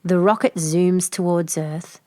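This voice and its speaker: A woman's voice: lowish voice, steady tone, a little slow, dark tone, no echo, clean studio sound. A woman reading a children's picture book aloud as a scripted narration. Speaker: storybook reader.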